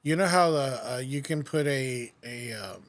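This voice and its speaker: A man's voice: mid-range voice, measured pace. A man hosting a podcast, telling stories in casual, rambling chat full of restarts. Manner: rambling storyteller, many restarts and "like". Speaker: podcast host.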